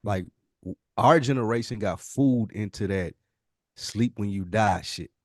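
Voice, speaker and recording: A middle-aged man with a fairly low voice, recorded dry. He hosts a casual discussion in a conversational, slangy way and swears freely. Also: a little slow, no echo. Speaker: podcast host.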